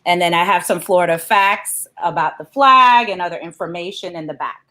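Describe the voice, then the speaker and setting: A woman's voice: fairly low pitch, a little fast, pushed and bright. A woman, a children's author, reading aloud and explaining things as an interview guest.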